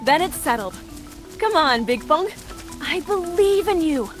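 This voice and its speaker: A young wandering heroine in a fantasy game, her voice high and youthful. She is plucky and persuasive, always coaxing, over background music. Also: animated, measured pace. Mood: urging. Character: plucky, pushy young female wandering martial hero. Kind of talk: dramatic game cutscene acting. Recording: music behind.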